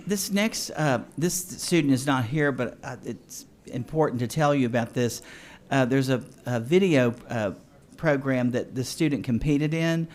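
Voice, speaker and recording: A man at a school board meeting announcing student awards in a halting way, with many fillers and restarts. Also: mid-range voice, a little mellow, a little hiss or hum. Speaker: school district official presenting awards.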